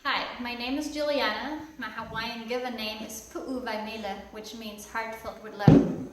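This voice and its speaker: Brief: woman, higher voice, warm storytelling manner, far mic with heavy echo and loud background noise. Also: steady tone, moderate pace. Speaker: think tank participant. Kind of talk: self-introduction on camera. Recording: distant, very echoey, loud noise.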